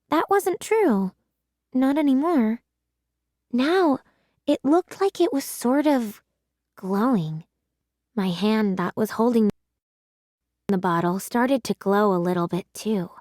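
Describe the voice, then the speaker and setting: A woman reading a children's story aloud with measured, dramatic storytelling. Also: mid-range voice, a little mellow, no echo, clean studio sound. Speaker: children's audiobook reader.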